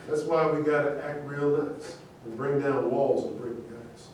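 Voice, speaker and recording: Mellow higher-voiced man, distant and echoey. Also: steady tone, a little slow. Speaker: actor in a staged play reading. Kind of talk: staged script reading. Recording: echoey room, distant mic, faint noise.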